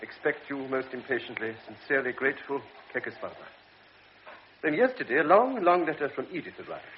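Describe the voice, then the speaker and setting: An actor playing a grave older doctor, speaking in a measured, lecturing way in a radio drama. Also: male voice, mid-range voice, animated, natural voice. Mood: stern. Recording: dull old radio recording with faint hiss.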